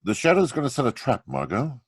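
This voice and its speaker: A man's voice: medium pitch, huge pitch swings, fairly quick, breathy edge, no echo, clean studio sound. A man playing a confident hero detective with a secret identity in an old-time radio drama read over a video call. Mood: determined.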